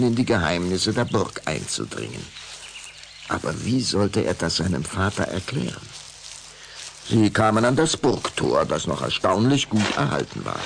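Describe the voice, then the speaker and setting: A man narrating a scripted radio play with suspenseful, deliberate, dramatic storytelling. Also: on the low side, gravelly, noisy background.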